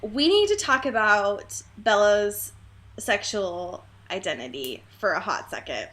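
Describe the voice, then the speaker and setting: A woman's voice: medium pitch, lively intonation, measured pace, a bit bright. A woman co-hosting a casual, comedic book discussion, exclaiming, swearing freely and often breaking into laughter as she talks. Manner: laughs often while talking. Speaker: podcast co-host.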